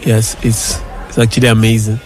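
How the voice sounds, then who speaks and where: A man's voice: on the low side, monotone, normal speed, faint music behind. A young man from Nigeria, interviewed at a tech event, talking with many fillers and restarts. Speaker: interviewee, tech support engineer.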